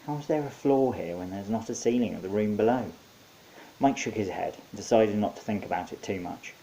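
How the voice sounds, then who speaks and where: A man's voice: on the low side, a little fast, vocal fry, dark tone, low-quality recording. A man narrating his own short story in a wry, conversational storytelling manner. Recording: faint background hiss.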